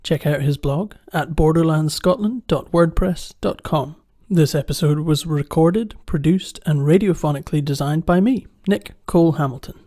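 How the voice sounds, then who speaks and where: Mellow, higher-pitched man reading credits. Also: moderate pace, hardly any pauses. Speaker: producer reading end credits. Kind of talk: scripted podcast credits.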